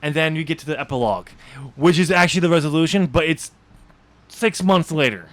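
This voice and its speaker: A man co-hosting a podcast in casual unscripted chat, talking haltingly and often correcting himself. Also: a little high, a bit bright, dry recording. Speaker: podcast co-host, author discussing own novel draft.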